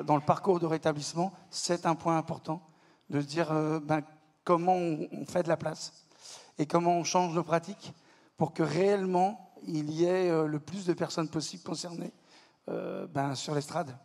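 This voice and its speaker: Halting man with flat, higher voice. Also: creaky. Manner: halting, many repeated words and fillers. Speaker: delegate of a mutual-aid network, panel speaker. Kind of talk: conference panel talk. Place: conference hall.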